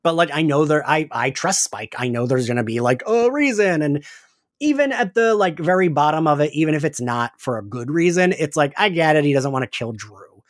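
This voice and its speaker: A man's voice: fairly high pitch, lively intonation, a little fast, dry recording. Male podcast co-host, upper-range voice, casual fan talk with emphatic mock quotes, recorded dry.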